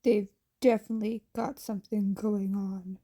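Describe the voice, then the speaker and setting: A woman voicing a gruff, weary male hero and guardian, grumbling tersely in a story reading. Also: mid-range voice, level tone, moderate pace, mellow, natural voice.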